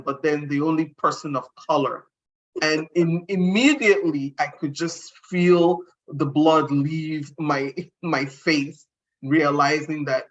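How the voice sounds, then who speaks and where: A woman's voice: sits low, highs cut off. A middle-aged woman, a guest speaker, answering interview questions earnestly and reflectively, often restarting her sentences.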